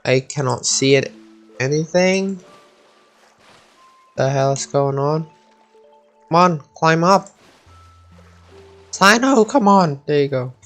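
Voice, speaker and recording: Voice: mid-range voice, expressive, slow pace, long pauses, faint music behind. A video game streamer giving casual gameplay commentary, thinking aloud and muttering with the odd swear word.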